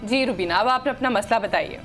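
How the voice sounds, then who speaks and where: A woman hosting a call-in show, brisk and polite as she takes callers. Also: a little high, fairly bright tone, faint music behind. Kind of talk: hosting live phone calls.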